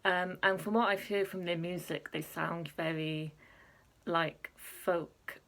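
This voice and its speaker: A woman's voice: lowish voice, steady tone, slow pace, a bit bright. Chatty woman vlogging. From Wales. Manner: chatty, many ums, laughs at herself. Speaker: Eurovision reaction vlogger. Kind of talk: casual chat to camera.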